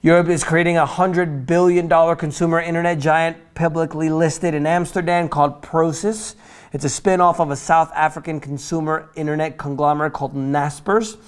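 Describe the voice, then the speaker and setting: A man's voice: on the high side, little pitch movement, normal speed, smooth. A man hosting a financial news roundup, reading items from notes in a chatty, informal way, with casual asides and stumbles that he corrects as he goes.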